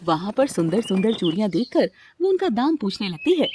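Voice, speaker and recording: A woman's voice: mid-range voice, big pitch swings, a little fast, warm tone, a little hiss or hum. A woman reading scripted narration for a children's story as its narrator.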